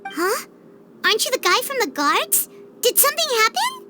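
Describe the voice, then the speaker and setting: A woman voicing a small, childlike, eager fairy companion with a squeaky, chirpy voice in a video game. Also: wildly swooping pitch, normal speed, heavily acted. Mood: curious. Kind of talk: animated video game voice acting. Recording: loud music under the voice. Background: loud music.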